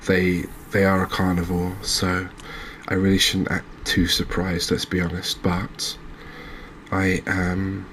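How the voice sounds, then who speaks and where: A man giving soft-spoken, gentle, unhurried gameplay commentary in an ASMR style. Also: deep voice, completely flat delivery, a little hiss or hum, rough recording. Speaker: host of a video game channel.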